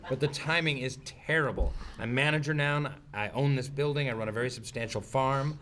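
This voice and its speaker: An actor at a comedy table read playing an earnest, self-important office manager with a formal, blunt way of talking. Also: male voice, mid-range voice, a little fast, fairly bright tone, natural voice. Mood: sincere, regretful. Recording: faint noise, live room table read.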